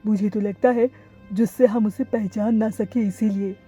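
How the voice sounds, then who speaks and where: A woman's voice: medium pitch, steady tone, unhurried, mellow, husky, soft background music. A woman voicing a mild, anxious male parrot in a cartoon story, plain and questioning. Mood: puzzled.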